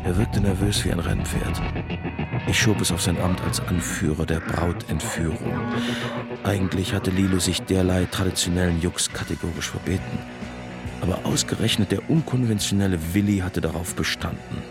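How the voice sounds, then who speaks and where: Low-pitched male narrator played by an actor, measured storyteller for a crime radio play, music beneath. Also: fairly even intonation, close to an everyday voice. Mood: measured, sombre. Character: sombre male narrator recalling his 1970s village youth. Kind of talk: scripted radio drama narration.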